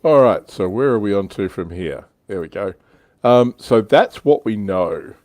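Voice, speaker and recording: A man's voice: lowish voice, lively intonation, unhurried, a little mellow, no room sound. A man hosting a casual hobby livestream, chatting informally and jokily as he reads out viewer comments. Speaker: livestream host.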